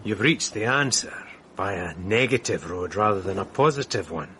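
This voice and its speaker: A man's voice: fairly low pitch, lively intonation, normal speed, bright, forceful tone, slight background noise. An actor playing a dry, sceptical junior policeman in a radio drama, speaking with understatement. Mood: dry, doubtful. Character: dry, sceptical male police subordinate to an inspector.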